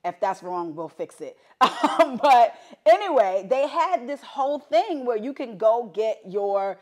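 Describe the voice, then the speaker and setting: A chatty woman hosts a relaxed interview. She talks in easy, playful asides and laughs often. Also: a little high, a little slow. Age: 30s. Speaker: podcast host. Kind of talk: casual interview chat.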